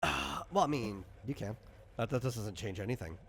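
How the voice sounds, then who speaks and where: A man's voice: on the low side, animated, normal speed, a bit bright, husky. A man working as a game master, explaining rules in casual conversation in a patient, rambling, step-by-step way. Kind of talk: casual explanatory chat during character creation.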